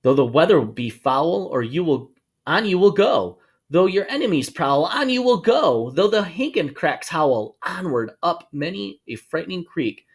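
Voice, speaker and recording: A man reading a rhyming children's book aloud to schoolchildren in an expressive, sing-song way, drawing out words for emphasis. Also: fairly high pitch, a little slow, husky. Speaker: storybook reader for schoolchildren.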